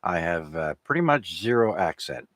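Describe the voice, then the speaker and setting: A low-pitched man, native in French, hosts a casual amateur radio net. He rambles along with hesitations, and his voice sits dry and close with hardly any room sound. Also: sing-song, normal speed, a bit bright, no room sound.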